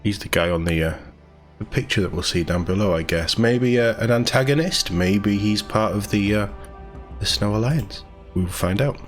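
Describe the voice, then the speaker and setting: Low voiced guy doing casual gaming news, chatty and into it, bit of music behind him. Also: moderate pace. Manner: chatty, informal, enthusiastic. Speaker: host of a gaming news channel. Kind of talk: casual news read-through with commentary. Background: background music.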